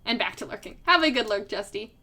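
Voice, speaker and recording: A woman's voice: mid-range voice, lively intonation, fast, sharp tone. A young woman streaming her art, chatting casually with viewers in a rambling way, full of fillers and frequent laughter. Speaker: artist streamer. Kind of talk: casual livestream chat with viewers. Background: faint background music.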